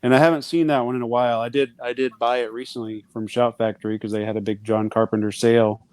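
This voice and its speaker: A man's voice: medium pitch, level tone, fast, a little mellow, clear, resonant tone, no echo. A man chatting on a podcast panel, speaking hesitantly and reflectively. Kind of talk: casual chat.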